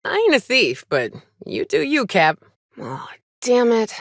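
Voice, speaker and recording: A tough female trailblazer from a space frontier video game. Her delivery is sardonic and folksy, with pitch that moves around a lot. The sound is dry and close, and she talks in short, salty remarks like a seasoned companion on the trail. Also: wildly swooping pitch, a little slow, no echo, full character voice. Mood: wary. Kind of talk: video game voice acting, short reactive lines.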